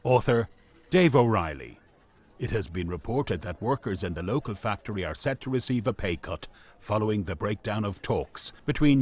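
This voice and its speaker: A man's voice: fairly low pitch, a little mellow. A man playing a formal narrator in a radio play, reading out in a dry, official manner. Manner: dry, official, measured wording. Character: formal male narrator reading factory reports and stage directions.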